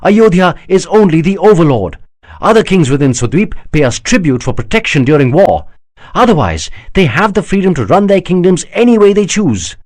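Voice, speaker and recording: A male audiobook narrator voices a heated young prince defending his people. The voice is dry and close with animated pitch. He argues with rising indignation. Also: normal speed, no echo. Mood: indignant. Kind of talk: audiobook character voice.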